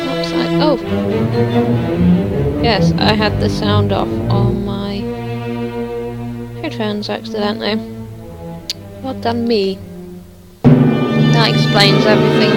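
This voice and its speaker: A woman giving casual screen demo commentary as a creator showing her own project, rambling and thinking aloud with some self-deprecation. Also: medium pitch.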